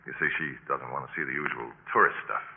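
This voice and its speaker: An actor playing a flip, desperate young college student with a halting, pleading delivery in a 1950s radio drama. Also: male voice, extremely low pitch. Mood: smooth, polite. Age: young adult. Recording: muffled, noisy 1950s radio recording.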